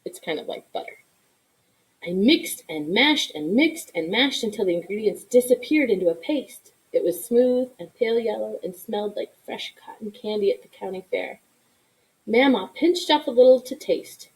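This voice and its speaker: A woman reading a children's story aloud in an expressive narration, with asides to young listeners. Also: mid-range voice, normal speed, poor audio. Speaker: storybook reader.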